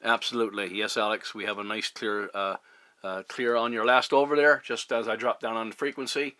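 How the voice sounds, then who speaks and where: Middle-aged man, lowish pitch, crisp forward tone, dry sound, CB radio operator from Newfoundland, courteous, halting, casual chat. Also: fairly even intonation, sharp tone, no echo. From Newfoundland, Canada. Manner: courteous, hesitant, halting phrasing. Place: at a CB radio station. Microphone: radio microphone.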